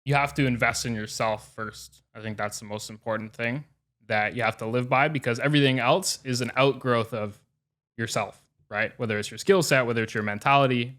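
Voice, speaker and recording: A man's voice: medium pitch, bright, forceful tone. Earnest man talking business on a podcast. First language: English. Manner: earnest, reflective, swears casually. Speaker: podcast guest. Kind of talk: casual podcast chat.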